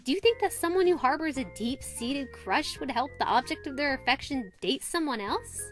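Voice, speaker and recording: A woman playing a small, feisty, easily flustered teenage huntress girl in a fan audio drama, perky and quick to take offence. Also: sits high, lively intonation, soft background music. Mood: flustered, defensive. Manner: perky, indignant.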